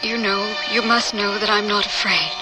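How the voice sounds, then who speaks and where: An actress playing a devoted, serene woman scientist facing death with fervent conviction, in measured, earnest old-time radio drama style. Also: mid-range voice, steady tone, over loud music, lightly acted, poor audio. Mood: tender, serene resolve. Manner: theatrical, earnest, measured delivery.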